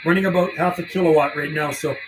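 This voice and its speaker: A man with a high-pitched voice works his radio on a livestream. He talks in a casual, slangy way and recites call signs like a seasoned operator. There is noticeable noise behind his voice. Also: fairly even intonation, fast, roomy sound. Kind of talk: casual livestream chat mixed with radio calling. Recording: clear background noise.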